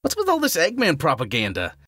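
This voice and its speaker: A woman voicing a cocky, excitable young male hedgehog hero with a bouncy, wisecracking manner. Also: sits low, fairly quick, breathy edge, no echo, clean studio sound, heavily acted. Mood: excited.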